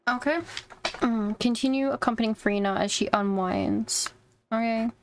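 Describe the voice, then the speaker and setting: A woman's voice: on the high side, normal speed, a little hiss or hum. A woman giving casual live gameplay commentary as a streamer, with chatty, informal asides to her viewers. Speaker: video game streamer. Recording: game music behind. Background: video game music.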